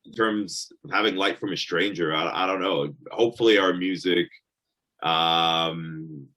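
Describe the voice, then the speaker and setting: A man's voice: low-pitched, measured pace, pushed and bright. A man in his thirties with a Canadian accent, a musician guest on a video call, speaking hesitantly with many fillers. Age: 30s.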